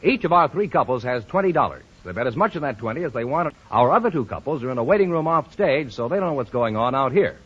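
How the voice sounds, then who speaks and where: A man announcing an old-time radio quiz show in 1940s broadcast style, with a husky voice. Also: mid-range voice, expressive, fast talker, few pauses. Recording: muffled old radio recording.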